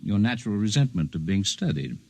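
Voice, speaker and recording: Lively-pitched, deep, erudite alien healer. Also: male voice, quick pace, creaky, no room sound, full character voice. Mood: courteous, scholarly. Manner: formal, precise, scholarly phrasing. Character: calm, erudite alien healer studying humanity, long-lived, gently condescending. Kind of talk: 1950s radio drama acting. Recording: 1950s radio broadcast recording.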